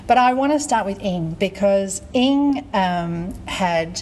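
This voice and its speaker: A woman's voice: medium pitch, expressive, slow, a little mellow, slight background noise. A woman hosting a podcast in a casual, chatty and informal way. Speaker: podcast host. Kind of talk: casual chat.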